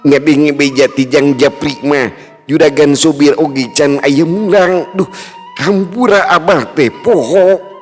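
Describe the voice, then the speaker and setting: A man's voice: on the high side, steady tone, slow, pitched above the performer's own voice. A man voicing a forgetful old village man who rambles and corrects himself. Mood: flustered. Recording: reverb and faint music.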